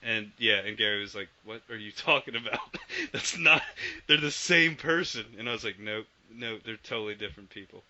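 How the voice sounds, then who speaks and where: A man co-hosting a casual video game podcast, animated and swearing freely as he acts out old quotes. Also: fairly low pitch, a little fast, crowd noise. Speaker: podcast co-host. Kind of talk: casual chat about video games. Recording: dull lo-fi podcast audio.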